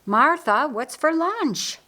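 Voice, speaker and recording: A woman voicing a devoted, bantering sister in a storybook, with expressive, exclamatory delivery. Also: mid-range voice, unhurried.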